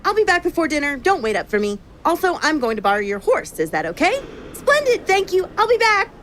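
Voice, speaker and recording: A woman playing a brisk, breezy, scatterbrained woman who dodges blame in an audio drama. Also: very high-pitched, huge pitch swings, exaggerated voice. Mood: brightly evasive. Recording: faint background noise.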